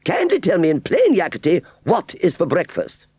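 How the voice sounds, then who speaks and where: A man doing a shrill snobbish old doll lady. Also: wildly swooping pitch, normal speed, darkish tone, husky, no room sound, dull audio, exaggerated voice. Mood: bossy. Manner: high, affected and imperious. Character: haughty shrill female fairground doll, snobbish and bossy.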